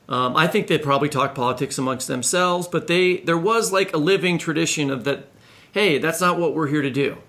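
A man's voice: a little high, a bit bright. A man co-hosting a podcast interview, asking loose, rambling questions. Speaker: podcast co-host.

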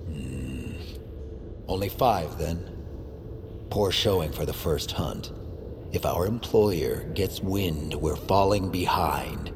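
A domineering villain lord in an audio drama. His very low voice moves slowly and deliberately, sardonic and commanding, over noisy ambience. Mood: cold scorn. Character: menacing, domineering male master of the shadowmancers.

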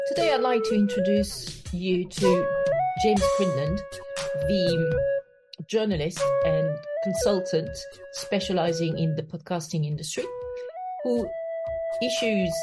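Hesitant woman podcast host, lowish voice. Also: low-quality recording. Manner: hesitant, many fillers and restarts. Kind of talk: casual interview. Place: home in London.

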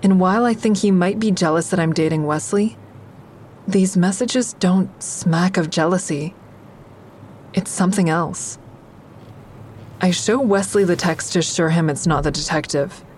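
A woman's voice: on the low side, a little slow, long pauses, darkish tone, a little hiss or hum, natural voice. An actress playing a guarded, wry woman who narrates her troubled romance in an intimate, confiding audio drama style. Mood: concerned, introspective. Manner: confiding, dry, introspective.

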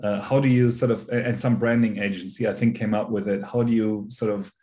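A man in his forties with a German accent, talking as a guest on a video call, measured and full of fillers and restarts. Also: lowish voice, fairly even intonation, dark tone. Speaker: guest, company founder. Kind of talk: conversational interview.

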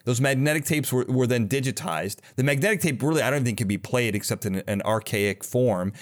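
A man hosting a casual interview podcast, speaking earnestly and haltingly, often rephrasing as he goes. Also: mid-range voice. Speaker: podcast host.

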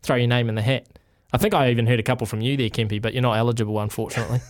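A man's voice: mid-range voice, fairly even intonation, racing. A man with a New Zealand accent hosting a sports radio show in casual, bantering style.

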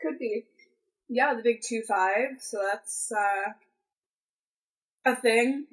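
A woman's voice: on the high side, slow pace, poor audio. A woman in her twenties co-hosting a podcast in casual chat, rambling with lots of "like" and "um". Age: 20s. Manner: rambling, full of "like" and "um". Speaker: podcast co-host.

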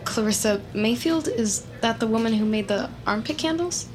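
An actress playing an awkward, eager-to-please office analyst in a comedic audio drama, hesitant and nervously over-polite. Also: female voice, on the high side, expressive, normal speed, slight background noise, lightly acted. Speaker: actor in a scripted audio drama.

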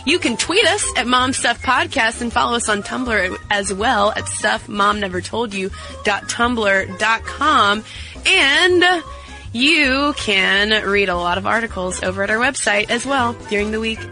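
Woman podcast co-host, bright crisp tone, chatty, speaks directly to listeners, faint music underneath. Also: fairly high pitch, unhurried. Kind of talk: casual podcast chat.

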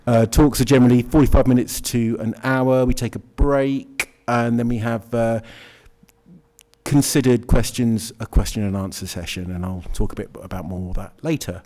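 A man hosts an evening talk, his voice mellow and dark. He introduces the guest informally, pausing and dropping in joking asides. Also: mid-range voice, steady tone. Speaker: event host. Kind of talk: introducing a speaker.

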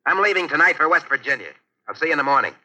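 A man's voice: a little high, lively intonation, rapid-fire, pushed and bright, heavily acted, rough recording. An actor playing a short-tempered, sarcastic male crime boss in a vintage radio drama. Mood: irritated, commanding. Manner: menacing, sarcastic, bossy. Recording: vintage 1940s radio broadcast.

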